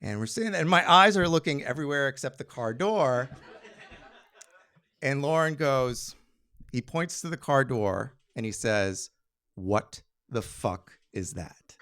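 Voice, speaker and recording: A man telling a personal story on stage in an animated, conversational way with comic timing. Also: mid-range voice, measured pace. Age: adult.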